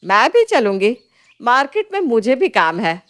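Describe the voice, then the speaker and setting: A woman's voice: a little high, sing-song, moderate pace, fairly bright tone. A woman voicing a bossy, possessive older mother-in-law in a story, with a scolding, emphatic manner.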